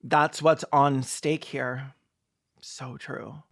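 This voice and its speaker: A mid-pitched commentator picks a film apart with open sarcasm. The delivery is campy and theatrical, built on mockery and comic exaggeration. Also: flat delivery, drawn-out words, gravelly. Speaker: video commentator.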